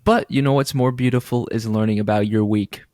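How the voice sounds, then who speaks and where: Male co-host, casual podcast chat, mid-pitched, dry close mic. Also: steady tone, brisk, darkish tone, no echo. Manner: playful, laughs often, recaps a church sermon series.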